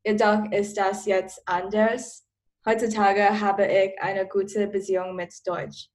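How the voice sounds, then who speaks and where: Slow, flat teen girl. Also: medium pitch. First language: Chinese. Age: teens. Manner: careful, asks questions. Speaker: student podcaster. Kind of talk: casual chat.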